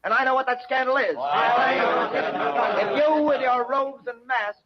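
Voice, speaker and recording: High-voiced, defiant prosecutor. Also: male voice, lively intonation, slow pace, over a noisy crowd, heavily acted. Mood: defiant, indignant. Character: defiant, righteous male prosecutor facing execution. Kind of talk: 1930s radio drama acting. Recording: muffled old radio recording.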